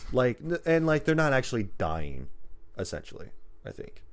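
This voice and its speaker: A man's voice: mid-range voice, very animated, moderate pace. A man chatting casually as a podcast co-host, with a rambling, loosely strung way of explaining things. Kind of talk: casual chat about video game movies.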